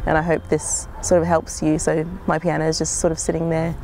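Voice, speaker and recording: A young woman from Zimbabwe, a singer-songwriter, speaking reflectively in an interview, often pausing with "um" and "sort of". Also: sits low, completely flat delivery, a little mellow, a little hiss or hum, low-quality recording. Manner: thoughtful, hedging with frequent "um" and "sort of".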